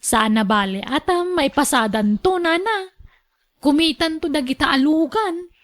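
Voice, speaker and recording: A woman's voice: high-pitched, animated, unhurried. A woman playing a long-suffering younger caretaker of an elderly mother in a radio drama, earnest and reasoning. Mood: questioning.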